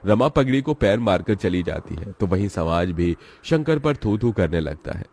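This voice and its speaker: A man's voice: deep voice, lively intonation, moderate pace, creaky, darkish tone. A man narrating a scripted story as its storyteller.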